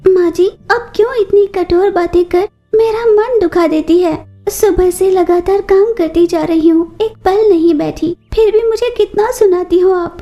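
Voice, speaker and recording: A woman's voice: extremely high pitch, fairly even intonation, measured pace, few pauses, warm tone, soft background music, pitched above the performer's own voice. A woman voicing a weepy, downtrodden young female sparrow in a cartoon story, plaintive and pleading. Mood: tearful, hurt. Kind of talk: Hindi children's cartoon story acting.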